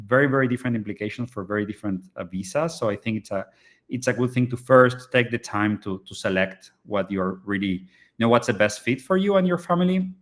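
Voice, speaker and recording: A low-pitched man from Argentina talks through visa advice in a live online session. He halts often, restarts his sentences and leans on fillers as he explains. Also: quick pace. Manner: hesitant, many fillers and restarts. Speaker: immigration startup founder.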